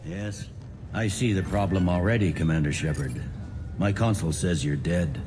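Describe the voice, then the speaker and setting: A man's voice: low voice, moderate pace, noisy background. A man voicing an easygoing space-station security captain in a video game, dry and matter-of-fact. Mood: wry, matter-of-fact. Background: music.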